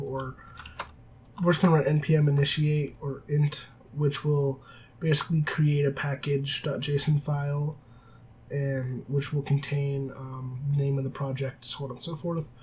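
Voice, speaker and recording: A man with a cold-roughened raspy voice, teaching a coding tutorial over a screen recording with many filler sounds and self-corrections. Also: fairly high pitch, a little mellow, a little hiss or hum. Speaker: programming tutor. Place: at a desk, voice-over of screen capture. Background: faint keyboard typing.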